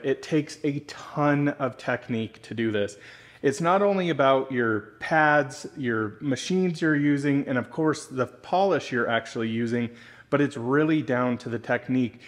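A man's voice: medium pitch, moderate pace. Enthusiastic car detailer explaining his work. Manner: enthusiastic, instructive, addresses viewers directly. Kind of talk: casual explanatory vlog narration.

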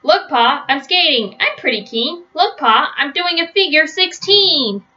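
A woman's voice: high voice, expressive, measured pace, pushed and bright, pitched above the performer's own voice, full character voice. A woman voicing an eager, polite young boy bear with a cheeky streak in a storybook. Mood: keen. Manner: keen, polite, questioning. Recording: dull, lo-fi webcam sound.

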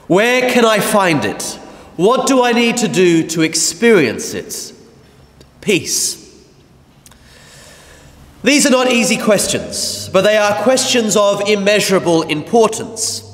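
Man, 30s, parish priest, sermon, emphatic, dramatic pauses, high voice, slow delivery, lectern mic, big church reverb. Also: very animated. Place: large church, in the sanctuary. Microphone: mounted lectern mic.

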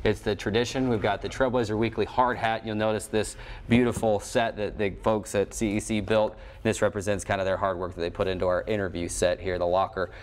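A man's voice: fairly low pitch, flat delivery, a little fast, smooth. A man in his twenties with an American accent, hosting and interviewing in a sports broadcast style. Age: 20s. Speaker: sports show host. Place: small indoor locker-style studio set.

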